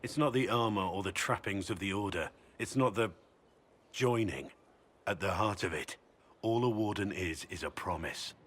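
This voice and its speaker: An actor playing a solemn, devoted middle-aged warrior in a video game, speaking earnestly and gravely. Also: male voice, sits low, moderate pace, fairly bright tone.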